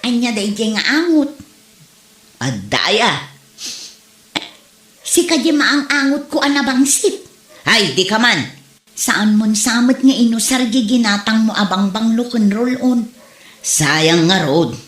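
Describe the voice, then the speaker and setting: A woman's voice: a little high, lively intonation, takes it slowly, long pauses, roomy sound. A woman acting a nagging, complaining wife who is short of money in an Ilocano comedy drama. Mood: irritated, complaining.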